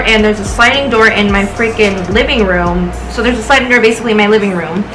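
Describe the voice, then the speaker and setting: A woman's voice: mid-range voice, poor audio. A woman of twenty-nine with an American accent, telling a personal story in a casual, rambling, slangy and sweary way. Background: music.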